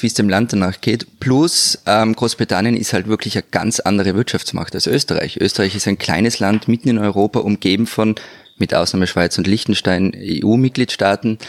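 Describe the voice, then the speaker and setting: Austrian male co-host, low voice, conversational commentary, close and dry sound. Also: no room sound. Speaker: podcast co-host, political commentator. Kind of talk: conversational political discussion.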